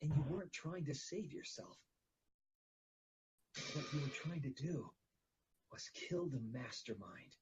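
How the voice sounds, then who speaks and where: A man's voice: a little high, animated, measured pace, long pauses, a little mellow, muffled recording. A man playing an earnest young male detective in an English-dubbed game, reasoning through a murder. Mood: insistent.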